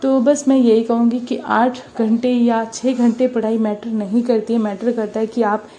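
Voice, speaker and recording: Young mother vlogging with a sore throat, higher voice, mellow tone, faint hiss behind. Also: level tone, normal speed. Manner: earnest, encouraging, mixes English words into Hindi. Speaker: study vlogger, mother preparing for police exam. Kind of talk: casual motivational vlog talk.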